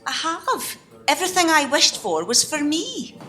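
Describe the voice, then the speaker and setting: A woman playing a disappointed, self-doubting wife in an amateur radio play, plaintive and expressive. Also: high-pitched, measured pace, heavily acted. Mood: rueful.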